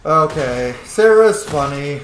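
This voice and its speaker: A man's voice: a little high, animated, takes it slowly. A man giving casual, amused and sweary commentary as he plays a roleplaying game, reacting aloud. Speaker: let's player, game roleplayer. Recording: roomy sound, faint background noise.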